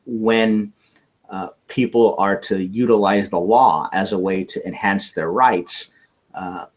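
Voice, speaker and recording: Low-voiced earnest storyteller, man. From California. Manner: earnest, often restarts words while telling a story. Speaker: interview guest. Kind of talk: personal storytelling in conversation.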